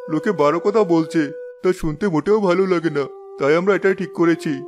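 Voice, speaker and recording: A man playing a cartoon stepson with a notably high male voice. He speaks as an accomplice brother in a moral tale, with faint music behind. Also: quick pace, lightly acted. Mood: hesitant. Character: stepson, his scheming brother's hesitant accomplice. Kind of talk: Bengali moral-story cartoon voice acting.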